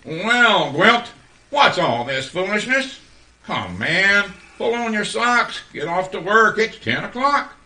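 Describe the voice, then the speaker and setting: An actor playing a pompous, bossy, blustering postmaster in a radio play. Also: male voice, high-pitched, sing-song, takes it slowly, pushed and bright, heavily acted. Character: pompous, bossy male postmaster scolding his underling. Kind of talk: community radio play acting.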